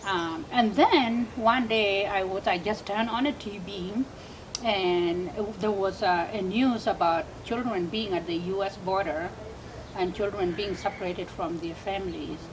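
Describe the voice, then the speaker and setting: A woman's voice: medium pitch, measured pace. South Sudanese-accented woman over background noise. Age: 30s. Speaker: interview guest, author. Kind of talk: earnest personal account in an interview.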